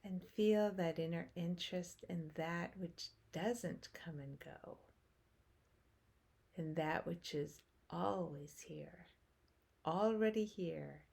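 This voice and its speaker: A woman guiding a meditation as a teacher, speaking in short phrases with long pauses and hushed endings. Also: lowish voice, creaky, husky. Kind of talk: guided meditation, slow spoken instruction.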